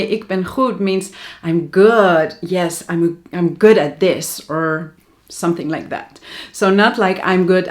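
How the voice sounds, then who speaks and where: A Dutch woman teaching her native language in English, with a Dutch accent, and she says example sentences slowly and carefully. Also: medium pitch. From Netherlands. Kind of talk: language lesson to camera.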